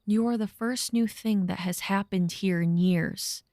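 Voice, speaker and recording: A woman acts out a young woman of a struggling people in an audio drama. She is wistful and sincere, with a pleading way of talking. Her voice is mid-pitched and sounds very dry, without room echo. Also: measured pace, dark tone, natural voice.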